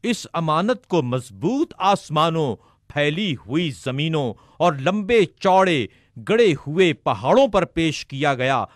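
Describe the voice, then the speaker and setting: A man reading a sermon text aloud in a measured, formal recitation. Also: a little high, big pitch swings, no room sound, studio quality. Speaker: reader of religious sermons.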